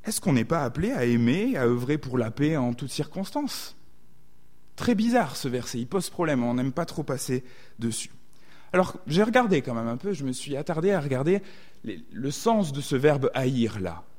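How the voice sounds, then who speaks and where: A man's voice: medium pitch, sing-song, moderate pace, darkish tone. A man with a southwestern French accent preaching a sermon, thoughtful and often hesitating to reword his thoughts.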